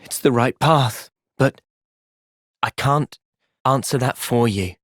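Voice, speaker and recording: An earnest young soldier from a video game, speaks with an English accent at a middling pitch. He is thoughtful and sincere, gentle yet resolute, and the sound is dry and studio-clean. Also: takes it slowly, no echo. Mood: pensive, serious. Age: young adult. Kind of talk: video game cutscene acting.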